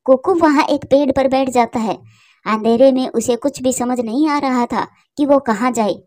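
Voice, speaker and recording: High-voiced woman narrating a story. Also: normal speed, a little mellow, dry recording, studio quality. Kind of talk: scripted cartoon story narration.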